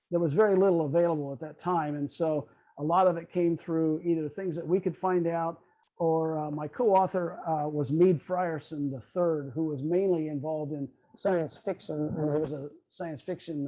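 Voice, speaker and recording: Halting older man, mellow higher-pitched voice. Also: poor audio. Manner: halting, rambling, loses his train of thought. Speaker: guest speaker, radio history author. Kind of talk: informal question-and-answer talk. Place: online video meeting. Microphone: video call microphone.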